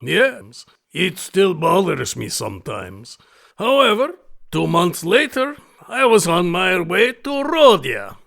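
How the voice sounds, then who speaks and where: An actor playing an alien aristocratic hunting fanatic in an audio drama, gravelly and raspy, with florid, theatrical, deliberate delivery. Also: male voice, high-pitched, huge pitch swings, fairly bright tone, full character voice. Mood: boastful, grandiose. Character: alien aristocratic hunting fanatic, obsessive, grandiose, menacing male host. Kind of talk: audio drama villain acting.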